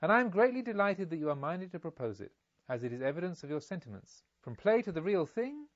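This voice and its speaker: A man's voice: high voice, very animated, fast, dry recording, muffled recording, pitched above the performer's own voice. A man voicing a genial, pompous elderly merchant father in an audiobook, with effusive, leisurely speech. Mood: pleased, sly. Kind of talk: audiobook character dialogue.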